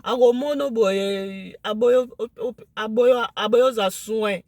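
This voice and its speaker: A woman with a Lingala accent, a native Lingala speaker, talking casually to camera, emphatic and excited, switching into French. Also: on the high side, slow pace, no room sound. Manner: emphatic, excited, mixes French into her speech.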